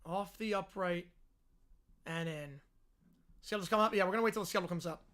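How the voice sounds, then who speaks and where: Hoarse, high-voiced male sports streamer. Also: fast talker, bright, forceful tone. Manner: chatty, reads and answers viewer comments. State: hoarse, voice worn out. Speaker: livestream host. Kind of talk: casual live sports reaction chat.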